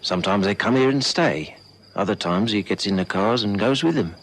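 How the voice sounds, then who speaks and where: A man's voice: fairly low pitch, lively intonation, moderate pace, a little hiss or hum. A South African man playing a suspicious, self-respecting neighbour in a radio drama, blunt and grumbling. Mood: grudging, suspicious. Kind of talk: old radio drama acting.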